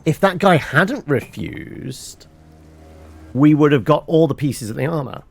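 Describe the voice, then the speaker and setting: A man's voice: a little high, expressive, measured pace, vocal fry. A man giving casual let's-play commentary in a wry, informal way with muttered asides. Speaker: gameplay commentator. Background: video game music.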